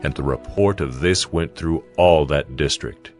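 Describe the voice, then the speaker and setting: A man's voice: a bass voice, a little slow, a little mellow. A man narrating a dramatized audio Bible in a solemn storytelling manner. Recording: music behind the voice. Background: background music.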